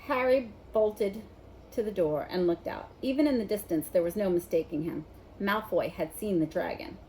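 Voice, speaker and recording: A woman narrating a children's novel in an expressive read-aloud style. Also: medium pitch, normal speed, a little hiss or hum, low-quality recording. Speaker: storybook reader.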